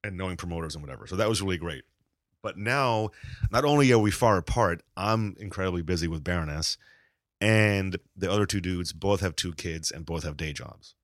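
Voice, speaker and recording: A man's voice: low-pitched, fairly quick, no echo, clean studio sound. A middle-aged man from Argentina, a touring musician, chatting casually as a podcast guest, swearing freely and restarting his sentences. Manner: laid-back, swears freely, rambling restarts.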